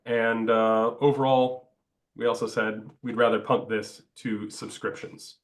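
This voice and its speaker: A congested man presenting slides in a webinar, pausing often on "uh" fillers. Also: mid-range voice, level tone. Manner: halting, many "uh" fillers. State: congested, stuffed up. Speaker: project presenter.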